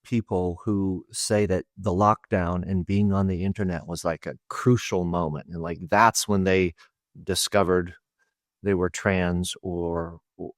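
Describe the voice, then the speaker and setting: Deep-voiced man asking halting questions. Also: fairly even intonation, no echo, studio quality. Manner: halting, searching way of phrasing questions. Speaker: podcast co-host. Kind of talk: interview questions.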